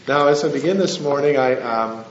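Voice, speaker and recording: A soft-spoken missionary preacher giving an earnest sermon, pausing often with "uh". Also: male voice, medium pitch. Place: church hall. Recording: roomy lo-fi church recording with faint hum.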